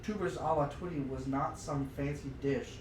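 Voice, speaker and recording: A man with a fairly high voice co-hosts a casual podcast, working through his thoughts aloud in an informal, exclamatory way. He sounds set back from the microphone in a reverberant room, with clear noise on the recording. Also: steady tone, moderate pace. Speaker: podcast co-host. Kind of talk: casual chat, reasoning aloud and reading story passages. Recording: roomy, noisy livestream, distant from mic.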